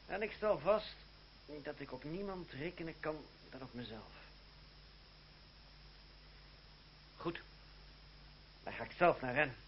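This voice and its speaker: A principled young lawyer speaks in a fairly high voice. He is courteous, measured and defiant, in formal radio-play style. The old recording is dull, with light hum behind it. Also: animated. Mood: firm, indignant. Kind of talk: Dutch radio drama acting. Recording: muffled, noisy, humming old radio recording.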